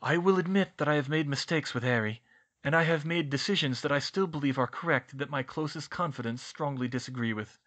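A man voicing a calm, wise, old male headmaster wizard, speaking in a measured, formal way. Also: a little high.

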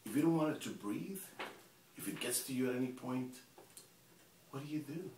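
A man playing a cold, menacing serial killer confessing in a halting, intimate stage monologue. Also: medium pitch, animated, lightly acted. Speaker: amateur actor performing a monologue. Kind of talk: theatrical dramatic monologue. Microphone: camera mic at some distance. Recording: roomy, distant camera mic, hissy.

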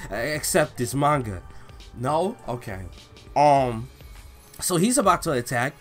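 Young man, reaction host, informal slang, expressive emphasis, music in the background. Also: mid-range voice, slow pace. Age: young adult. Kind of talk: casual reaction commentary and read-along. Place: small indoor room at home.

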